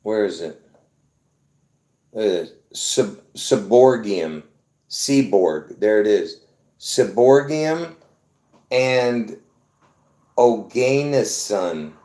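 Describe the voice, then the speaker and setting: A man from Lebanon hosting his own video, talking excitedly to viewers in a rambling, repetitive way with bursts of laughter. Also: fairly low pitch, drawn-out words, pauses often, echoey room. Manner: excitable, repeats himself, laughs between points. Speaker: video host. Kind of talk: rambling casual monologue to viewers.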